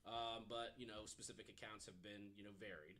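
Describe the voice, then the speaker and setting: A man's voice: on the low side, fairly even intonation, brisk, pushed and bright. A man on a podcast panel telling a story casually, hedging often with "you know" as he explains.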